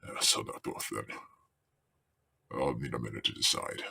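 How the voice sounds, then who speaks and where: A man acting a gruff, hesitant game character with an extremely deep voice full of gravel. He sounds husky and questioning, as someone weighing a hard decision. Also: steady tone, normal speed, bright, forceful tone, recorded from a distance, a little hiss or hum, exaggerated voice. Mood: uncertain, questioning. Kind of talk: game cutscene dialogue.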